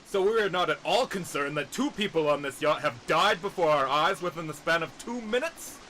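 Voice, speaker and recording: A man's voice: a little high, lively intonation, fairly quick, bright, forceful tone, slight background noise, rough recording. A man playing a snide, defensive and sarcastic younger brother in an amateur murder mystery audio drama. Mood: incredulous. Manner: indignant, sarcastic.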